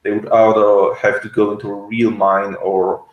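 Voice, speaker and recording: A man in casual review chat as a co-host, speaking in a hesitant, halting way. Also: lowish voice, level tone, reverberant, muffled recording. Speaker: podcast co-host reviewing a TV series. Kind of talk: casual review chat, partly reading notes aloud.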